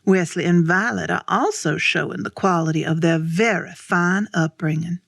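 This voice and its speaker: Woman narrator, low voice, dry sound, proud, wryly knowing, theatrical storytelling. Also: normal speed, gravelly, fairly bright tone, no room sound. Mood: wry, composed. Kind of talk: scripted stage narration.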